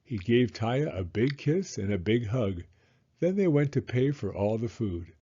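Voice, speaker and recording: A man reading a children's story aloud in an animated, deliberate narrating style. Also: mid-range voice, slightly rough, muffled recording. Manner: expressive, deliberate, drawn-out phrasing.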